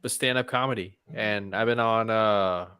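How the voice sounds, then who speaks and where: A man's voice: fairly low pitch, normal speed, pushed and bright, clear, resonant tone, no room sound, clean studio sound. A man chatting casually as a panelist, with a hesitant, loosely phrased way of talking. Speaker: panelist on a movie talk show. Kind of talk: casual chat.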